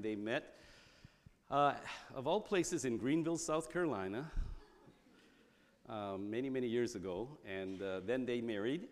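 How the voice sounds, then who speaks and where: A man's voice: mid-range voice, long reverb tail. A man in his sixties, Korean by birth and native tongue, gives a chatty church talk full of fillers and restarts. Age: 60s. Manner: conversational, many fillers and restarts. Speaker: guest speaker. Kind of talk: church talk telling a personal story.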